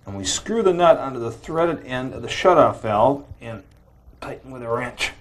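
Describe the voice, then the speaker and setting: A man hosting a home improvement show, giving plain, steady step-by-step instructions as he demonstrates the work. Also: mid-range voice, moderate pace.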